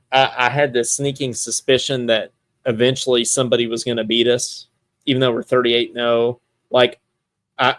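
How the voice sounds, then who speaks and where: A man hosts a relaxed, conversational sports podcast. His voice sits at a middling pitch. He rambles and thinks out loud, and the sound is dry with little room in it. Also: no room sound. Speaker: podcast host. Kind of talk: casual sports chat.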